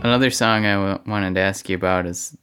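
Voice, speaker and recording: A man hosting an interview, asking relaxed, slightly hesitant questions and murmuring along. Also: low-pitched, a little fast, clear, resonant tone, faint music behind. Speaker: radio interviewer host.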